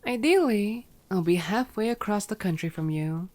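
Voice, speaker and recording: A woman's voice: medium pitch, lively intonation, moderate pace, a little mellow, lightly acted. A woman playing a sarcastic, teasing female vampire in an ASMR roleplay audio drama, playful and exasperated. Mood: wary, dry.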